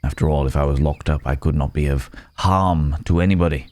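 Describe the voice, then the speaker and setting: A man's voice: extremely low pitch, fairly quick, a little mellow, no room sound. A man playing a weary, courteous cursed werewolf in an ASMR roleplay, speaking in formal, old-fashioned phrases with pensive hesitation. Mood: resigned, sombre.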